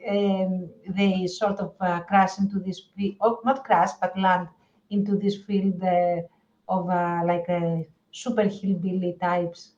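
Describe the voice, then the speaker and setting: A woman's voice: fairly low pitch, flat delivery, slow pace, darkish tone. Woman co-host on dull lo-fi audio. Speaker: podcast co-host. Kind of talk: casual chat.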